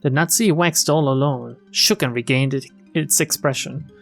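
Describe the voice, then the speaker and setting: Male game streamer, higher-pitched, narrating story text with casual commentary over clear music. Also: moderate pace. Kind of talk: reading visual novel text aloud with casual comments.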